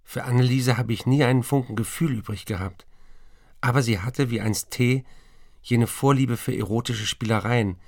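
An actor playing a troubled writer who pleads with his estranged wife, reading a confessional, measured letter in a radio play. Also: mid-range voice, gravelly, a little mellow, no echo, clean studio sound.

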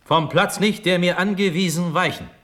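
An actor playing a dreamy, distracted young prince and officer in a classic radio drama, with a theatrical, absent-minded manner and abrupt outbursts. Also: male voice, high-pitched, normal speed, a bit bright. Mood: startled, confused. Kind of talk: classic German radio play stage acting.

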